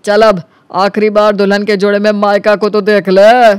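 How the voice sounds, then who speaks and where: A man playing a cunning, smooth-talking young schemer in an animated story, with a colloquial, teasing way of talking. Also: sits high, normal speed, dry recording, close to an everyday voice. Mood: taunting. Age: young adult. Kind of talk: Hindi animated story dialogue.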